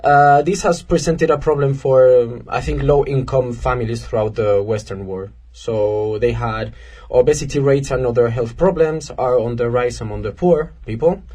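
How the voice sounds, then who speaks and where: A young man from Spain joins a casual radio talk as a volunteer guest. He speaks haltingly, stopping and restarting his sentences, with his pitch in an everyday middle range for a man. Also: darkish tone. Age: young adult. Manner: halting, many fillers and restarts.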